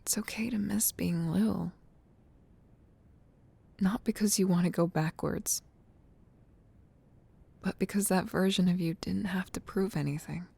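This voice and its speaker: Woman playing gentle old friend, soft asmr, lowish mellow voice w lots of fry, wistful n reflective. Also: fairly even intonation, heavily acted. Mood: gently reassuring. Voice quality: soft, breathy near-whisper with creaky fry. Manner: tender, reflective, unhurried. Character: gentle, nostalgic female childhood friend in an old library. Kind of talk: ASMR roleplay, soft intimate talk.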